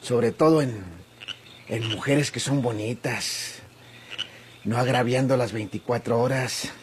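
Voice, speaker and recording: A man's voice: mid-range voice, fairly even intonation, takes it slowly, close to an everyday voice. Man acting an oily rural Mexican flirt. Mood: flirtatious. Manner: ingratiating, rustic. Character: oily, flirtatious rustic male drifter. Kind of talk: Mexican golden-age radionovela acting. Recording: noisy old radio drama recording.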